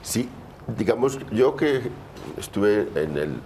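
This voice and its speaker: A man hosting a studio panel, speaking reflectively and asking halting questions with restarts. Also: lowish voice, sing-song, background noise. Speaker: panel host.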